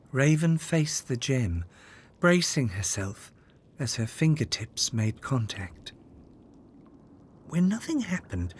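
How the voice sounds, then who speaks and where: A storyteller reading a children's fairytale aloud in an expressive, measured way, with dramatic scripted delivery. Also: mid-range voice, slight background noise. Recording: music under the voice. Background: background music.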